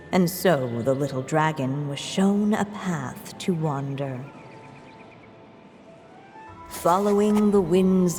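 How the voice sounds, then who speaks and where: A woman acting a calm, solemn storyteller, reading fairy-tale narration in a video game. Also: low-pitched. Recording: long reverb, music behind.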